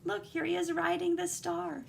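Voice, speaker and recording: Female teacher storyteller, high voice, expressive and dramatic picture-book delivery for young kids, slight background noise. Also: normal speed, vocal fry. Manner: animated, dramatic emphasis, guiding and questioning. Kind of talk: expressive children's read-aloud with asides to young listeners.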